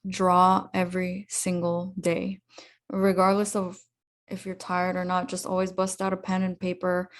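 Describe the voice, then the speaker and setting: A woman's voice: lowish voice, monotone, a little slow. Earnest woman artist giving advice. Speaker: guest artist. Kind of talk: casual interview chat.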